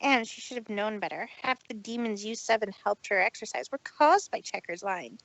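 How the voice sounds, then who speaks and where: A woman's voice: mid-range voice, moderate pace, a bit bright, no room sound, pitched above the performer's own voice, lightly acted, poor audio. A woman voicing a proud but troubled female pony magician, theatrical and formal, who speaks of herself in third person. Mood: guilty, distressed.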